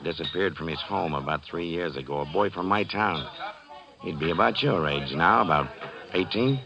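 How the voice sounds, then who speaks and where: An actor playing a middle-aged, smooth-talking former police chief with an American accent in a 1940s radio drama, folksy, coaxing and insistent. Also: male voice, low voice, moderate pace, noisy background. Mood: probing. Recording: dull old 1940s radio recording.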